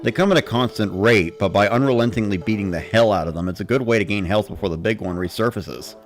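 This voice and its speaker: A man's voice: lowish voice, quick pace, faint music behind. A man reading a first-person story as a dramatic narrator, in the style of a gamer recounting play.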